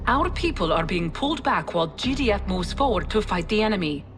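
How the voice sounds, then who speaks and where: Woman acting a low-voiced loyal military officer. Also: lively intonation, brisk, natural voice. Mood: sober, devoted. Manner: sober, earnest, dutiful. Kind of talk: dramatic game briefing acting. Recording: studio voice under clear music.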